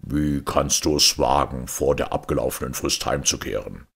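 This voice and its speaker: A man playing a stern, overbearing fairy-tale king with a deep, gravelly voice and a commanding, menacing manner. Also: moderate pace, a bit bright, full character voice. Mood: angry, threatening.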